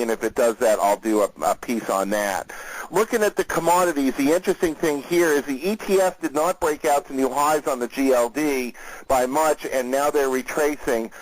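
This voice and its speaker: A man who is a market analyst, hosting a weekly conference call and briefing listeners on the market in a steady, conversational way, with frequent fillers. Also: medium pitch, no room sound, poor audio.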